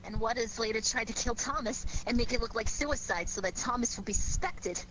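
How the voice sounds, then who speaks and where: An actress playing a playful, coy sweetheart and amateur sleuth in an old-time radio drama. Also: female voice, a little high, brisk, lightly acted. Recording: noticeable background noise.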